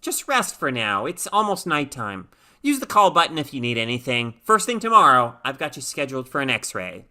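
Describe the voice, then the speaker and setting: A woman voicing a calm, evasive male doctor in a story reading, measured, reassuring and professional. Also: very deep voice, full character voice. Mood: reassuring, guarded.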